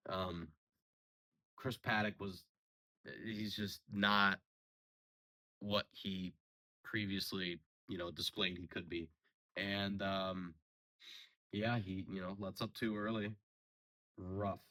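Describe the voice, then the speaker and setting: A young man giving a casual, rambling solo sports recap, a little hoarse from a sore throat and full of fillers and restarts. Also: deep voice, flat delivery, slow, long pauses, pushed and bright, rough recording. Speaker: sports podcast host.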